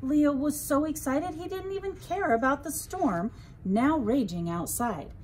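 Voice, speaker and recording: Female storyteller for children's picture book: lively intonation, slow pace, faint noise behind. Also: high-pitched. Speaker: librarian reading a children's story. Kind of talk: expressive children's bedtime story narration.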